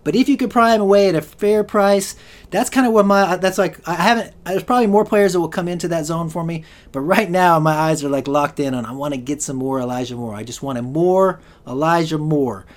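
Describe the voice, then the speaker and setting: A man's voice: a little high, a little fast. A man hosting a solo fantasy football podcast, rambling with lots of restarts and fillers, and he is losing his voice. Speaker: podcast host.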